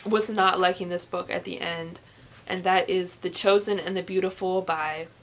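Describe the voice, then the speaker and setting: A woman's voice: on the low side, fairly even intonation, normal speed, poor audio. A young woman chatting casually and emphatically to camera, reviewing books in an informal way. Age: young adult. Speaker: book reviewer vlogger.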